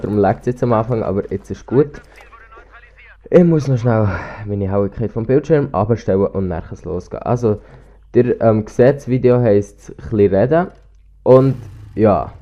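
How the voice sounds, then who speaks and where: A man's voice: medium pitch, muffled recording. A teenage boy with a Swiss German accent, rambling casually over gameplay through a cheap headset while slightly sick with a cold. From Switzerland. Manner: rambling, hesitant, full of restarts and ums. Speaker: gaming YouTuber. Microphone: cheap gaming headset.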